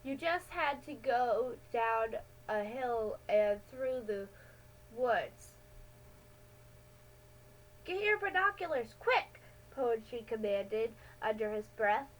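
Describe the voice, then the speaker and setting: A woman with a high-pitched voice reads a children's adventure story aloud. Her delivery is slow and halting, with small stumbles and self-corrections as she narrates. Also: low-quality recording. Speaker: amateur story reader.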